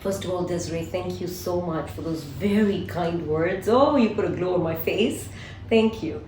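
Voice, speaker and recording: A woman speaks a little low, chatty and eager, reeling off long lists as she answers. She sits back from the mic in a reverberant room with a faint murmur of ambience behind her. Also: normal speed, a little mellow, recorded from a distance, slight background noise. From Singapore. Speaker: guest, collage artist. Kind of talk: casual interview answers.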